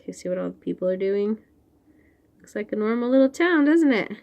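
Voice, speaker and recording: A woman hosts a read-aloud for little kids with a very lively, swooping delivery at a medium pitch. She reads expressively and breaks off to point out details in the pictures and ask the listeners questions. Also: brisk, creaky, lo-fi sound. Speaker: children's read-aloud host. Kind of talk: animated picture-book reading with asides to young children.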